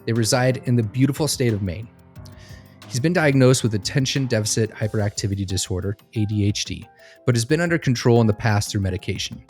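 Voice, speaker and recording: A man's voice: lowish voice, a little fast, a little mellow, faint music behind. A man hosting and narrating a fictional case study in a scripted storytelling style. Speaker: host and narrator.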